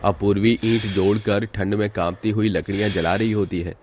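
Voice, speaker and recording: Male narrator, low-pitched, delivering scripted storytelling for an animated family tale. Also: moderate pace, noisy background.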